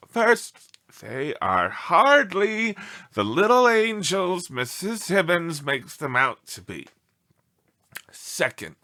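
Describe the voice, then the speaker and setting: A man's voice: mid-range voice, sharp tone, heavily acted. A man voicing an indignant, self-pitying pet dog in a theatrical storybook read-aloud. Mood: defensive, sly. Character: aggrieved melodramatic pet dog writing pleading letters home.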